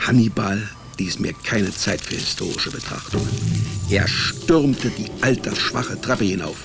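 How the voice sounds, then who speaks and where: Male radio-drama lead: wisecracking private eye narrator, lowish voice with fry, dry irony, music underneath. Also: a little slow. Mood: dry, observant. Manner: dry, ironic, laconic. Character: sardonic, wisecracking male private detective narrating his case. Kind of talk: first-person hard-boiled radio drama narration.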